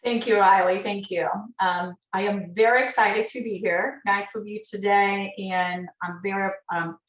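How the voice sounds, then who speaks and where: A woman from Lithuania speaks English with a noticeable Lithuanian accent, presenting a business webinar. Her delivery is earnest and a little halting, and she often restarts phrases. The room around her rings with echo. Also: medium pitch, steady tone, muffled recording. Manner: earnest, halting, with self-corrections.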